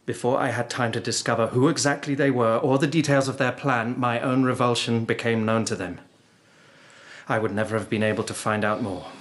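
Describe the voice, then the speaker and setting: A man's voice: mid-range voice, fairly even intonation, fairly quick, lightly acted. An actor playing a young nobleman who informs on a plot in a radio drama, formal and grave, telling his story earnestly. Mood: troubled, intent. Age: young adult.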